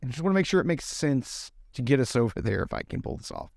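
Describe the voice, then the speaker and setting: A man's voice: mid-range voice, big pitch swings, fast talker, vocal fry, no echo, clean studio sound. A man playing a tabletop roleplaying game, talking casually and improvising as he goes.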